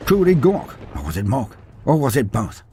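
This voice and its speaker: A man's voice: mid-range voice, wildly swooping pitch, normal speed, warm tone, slight background noise. A man reading a fantasy story aloud with a dramatic delivery, his voice rising and falling through the telling. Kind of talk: scripted fantasy story narration.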